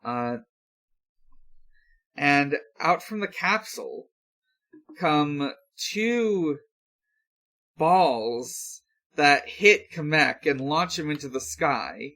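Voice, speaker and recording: A podcast host recapping episodes casually with friends, speaking haltingly with many filled pauses. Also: mid-range voice, animated, a bit bright.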